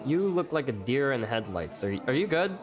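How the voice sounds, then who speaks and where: A man's voice: mid-range voice, very animated, a little fast, a crowd in the background, natural voice. A man acting as a brash, teasing, flamboyant young waiter with a sarcastic streak in an audio drama. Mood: sardonic, impatient. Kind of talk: audio drama acting with first-person narration. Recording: faint music behind the voice.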